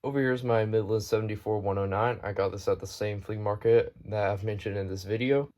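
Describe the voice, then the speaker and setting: A man with a fairly low voice talks through a hobby collection in an informal video. His delivery is matter-of-fact and unscripted, like someone casually showing items one after another. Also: steady tone, fairly quick, heavy background noise. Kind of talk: casual show-and-tell narration.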